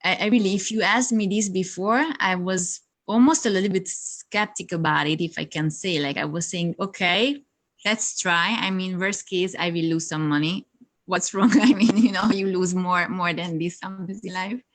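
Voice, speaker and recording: A woman whose first language is Hungarian, talking in a somewhat low voice. She speaks in a halting way, full of fillers and small laughs, with the sound of a video call. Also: a bit bright. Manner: hesitant, many fillers, laughs often. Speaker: yoga teacher, guest. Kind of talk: casual interview chat.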